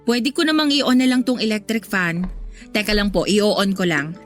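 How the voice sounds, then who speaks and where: A woman's voice: medium pitch, moderate pace, natural voice. An actress playing a patient, polite young working woman in a radio drama, over faint background music. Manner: polite, restrained, respectful. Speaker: goddaughter.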